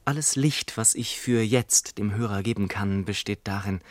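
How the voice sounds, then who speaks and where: An actor playing a wry, digressive gentleman who narrates his own life in a literary radio play, whimsical and mock-solemn. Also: on the low side, moderate pace, no room sound, natural voice. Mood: wry, measured.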